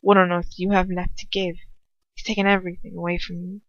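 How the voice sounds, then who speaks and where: A woman's voice: fairly low pitch, little pitch movement, fast talker, no room sound, highs cut off, close to an everyday voice. A woman voicing an anguished, betrayed young man with bitter, pained delivery in a story reading. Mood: bitter, demanding.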